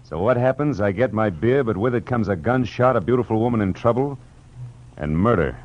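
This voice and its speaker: An actor playing a wry, hard-boiled American private detective, deadpan and wisecracking, in the manner of old radio noir. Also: male voice, fairly low pitch, a little fast, noisy background, close to an everyday voice. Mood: wry, world-weary. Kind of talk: 1940s-50s radio noir narration and acting. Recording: dull vintage radio recording with music.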